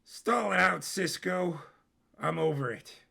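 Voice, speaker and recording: A man's voice: sits high, slow pace, gravelly, bright, forceful tone, slightly rough, voice pushed up, exaggerated voice. A man voicing a bored thirty-year-old prince in an audio story, with slangy, laid-back bro talk.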